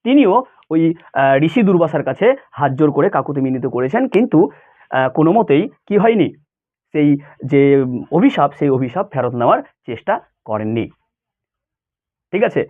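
A man teaches in an informal, conversational way, pitched a little high with lively swings. He keeps asking short questions to check that his students follow. The sound is thin and narrow, like a phone. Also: moderate pace, pauses often. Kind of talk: informal lesson, explaining a story to school students.

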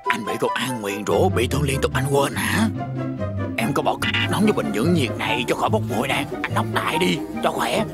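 A dim but loyal henchman who fusses over his boss, voiced by a man. His lively voice rises and falls over loud music. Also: medium pitch, a little fast, natural voice. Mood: earnest. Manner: earnest, deferential. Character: dim loyal male gang underling.

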